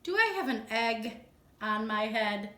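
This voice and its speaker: A woman's voice: on the high side, expressive, measured pace, fairly bright tone, roomy sound. A woman voicing an anxious male elephant who frets and exclaims over every small trouble. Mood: worried. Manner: fretful, exclaiming.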